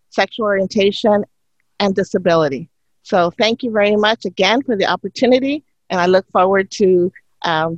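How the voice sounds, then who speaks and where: A woman's voice: mid-range voice, no echo. An earnest woman gives her opening remarks as a candidate at a forum. Her voice comes through dull and muffled, with a dry sound and no room around it.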